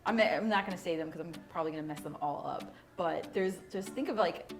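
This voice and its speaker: A woman who grew up in Mexico, hosting a casual chat in a restaurant. She talks in a lively way, laughs easily and uses many fillers. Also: on the low side, fast talker, over music. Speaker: host.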